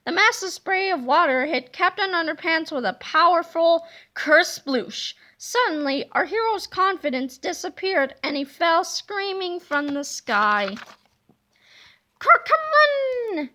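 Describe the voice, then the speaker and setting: A woman reading a children's chapter book aloud with lively, expressive narration. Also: very high voice, a little slow, few pauses. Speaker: reader.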